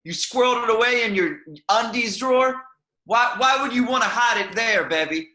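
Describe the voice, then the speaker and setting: A man playing a controlling, possessive middle-aged father in a home table read, speaking in a low, creeping, threatening way in an echoey room. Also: normal speed, sharp tone. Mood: menacing. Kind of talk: amateur table read over video call.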